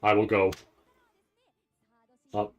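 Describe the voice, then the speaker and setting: A man giving casual live reaction commentary, nervous and rambling, halting and repeating his words as he goes. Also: on the low side, monotone, muffled recording. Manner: anxious, nervous anticipation. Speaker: anime commentator reacting to a livestream event.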